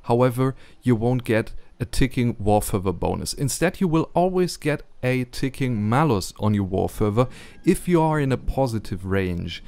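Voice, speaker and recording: A man's voice: medium pitch, animated, normal speed, a little mellow, dry recording. A man with a German accent, not a native English speaker, narrating a strategy game tutorial carefully, with frequent ums and restarts.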